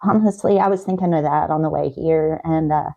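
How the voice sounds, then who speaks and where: A middle-aged woman giving personal answers as a talk show guest, in a halting, reflective and earnest way. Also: low voice, fairly even intonation, vocal fry, mellow, muffled recording. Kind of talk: personal, conversational interview answers.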